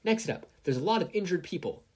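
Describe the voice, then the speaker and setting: A confident female pro-hero mentor, played by a story reader. The delivery is brisk and animated, swinging widely in pitch with a husky edge. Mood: rapid, testing.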